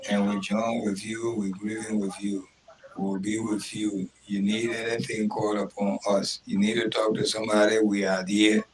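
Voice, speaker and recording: A man's voice: on the low side, flat delivery, normal speed, a crowd in the background, rough recording. A man hosting a live radio talk show.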